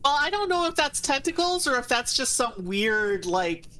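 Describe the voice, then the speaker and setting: Bright, animated woman reacting to a game. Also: high-pitched, moderate pace. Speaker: co-host of game playthrough. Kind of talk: casual chat, reacting to a game.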